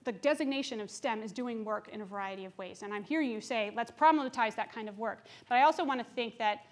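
A woman with a slightly high voice answers questions from a lecture hall stage. She is thoughtful and restarts often, trailing into asides before returning to her point. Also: quick pace. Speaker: keynote speaker answering questions.

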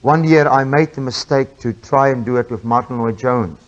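A man's voice: medium pitch, moderate pace, muffled recording. A man with an Afrikaans accent giving an informal sermon, chatty and full of fillers and restarts. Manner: chatty, self-interrupting with frequent fillers. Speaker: preacher.

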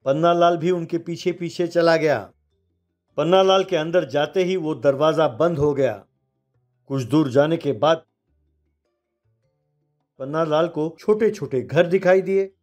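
A man's voice: a little high, measured pace, long pauses, darkish tone, soft background music. A man narrating a children's moral story in scripted storytelling style.

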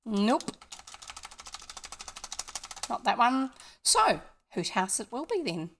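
Older New woman, creaky voice. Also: on the high side, sing-song, takes it slowly, a bit bright. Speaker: livestream host and storyteller. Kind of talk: casual chat to livestream viewers. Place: indoor room, home streaming setup.

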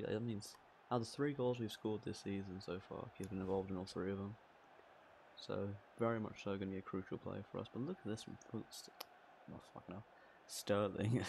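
Male gaming host, croaky and gravelly, excitable play-by-play over a noisy recording. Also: fairly low pitch. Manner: excitable, swears and laughs at own play. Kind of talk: casual game commentary.

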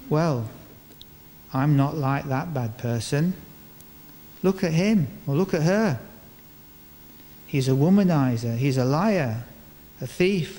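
Older man preaching slowly in an echoing church. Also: fairly high pitch, mellow. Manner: measured phrasing with deliberate pauses and rhetorical questions. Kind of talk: sermon. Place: large stone church, indoors, before a congregation. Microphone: microphone on a stand. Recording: reverberant church hall, clear background noise.

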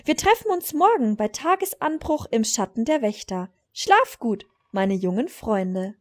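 A woman voicing a courteous, grandiose male wizard mentor from a fantasy game in a formal, measured way. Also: a little high, big pitch swings. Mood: kindly, earnest.

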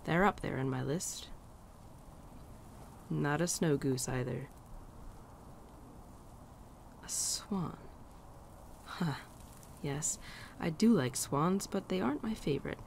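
Low, soft winter spirit played by a woman. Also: slow pace, gravelly, long pauses, slight background noise, full character voice. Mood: playful, teasing. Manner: soft, tender, playful, often dropping to near-whisper. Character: gentle, teasing female winter spirit, affectionate old friend. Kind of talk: girlfriend ASMR roleplay, softly acted monologue. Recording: birdsong and outdoor ambience behind.

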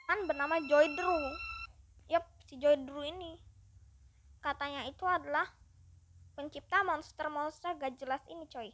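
High, bright chatty gaming commentator. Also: female voice, a little slow, pauses often. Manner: chatty, upbeat, keeps addressing viewers. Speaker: gaming YouTuber. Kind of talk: casual let's-play commentary.

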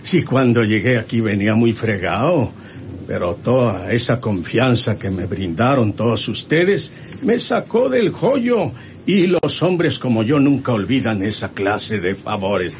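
An actor playing a hardy, cheeky, aging ranch hand with a rural Mexican accent and folksy manner in a radio drama. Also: male voice, mid-range voice, big pitch swings, unhurried. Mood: grateful, jovial. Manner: folksy, chuckling. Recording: noisy old radio serial recording.

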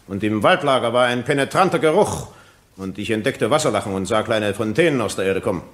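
An actor playing an evasive, self-justifying former police colonel testifying in a German courtroom radio play. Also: male voice, fairly low pitch, animated, a little fast, lightly acted. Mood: defensive, agitated.

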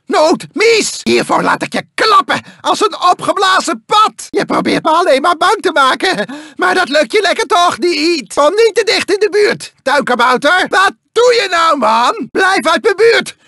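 A manic cyborg mad scientist from a cartoon video game, whiny and vengeful. The voice is shrill and very high, swooping wildly from mutters to shrieks. It has a gravelly crackle and theatrical villainy. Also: male voice, sing-song, a little slow, bright, forceful tone, dry recording, studio quality, exaggerated voice. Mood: agitated, threatening.

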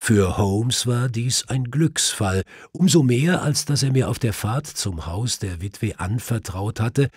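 A man's voice: lowish voice, breathy edge, no room sound, studio quality. A man reading a mystery audiobook in a scripted, dramatic narrating style. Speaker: audiobook reader. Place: dry studio.

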